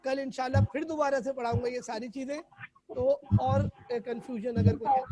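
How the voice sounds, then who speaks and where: A hoarse man teaching a chemistry class online, quizzing students and repeating English definitions. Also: very high-pitched, fairly even intonation, normal speed, warm tone.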